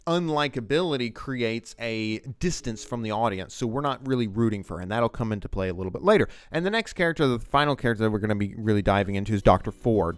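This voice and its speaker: A man with a low, clean and resonant voice talks through a lesson informally. He rambles conversationally, leaning hard on certain words as he explains. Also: clear, resonant tone, no echo. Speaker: writing teacher and video essayist. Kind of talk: informal explanatory commentary on screenwriting.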